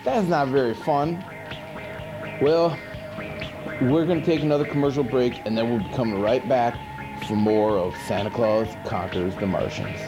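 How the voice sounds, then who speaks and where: A man's voice: mid-range voice, normal speed, music in the background, lightly acted. A man playing a goofy, chatty, sardonic monster who hosts a horror movie show, recapping films in casual, rambling slang on a noisy 1980s VHS recording. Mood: casual, showmanlike. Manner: casual, rambling, mock-indignant slangy delivery. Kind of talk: comic movie recap monologue.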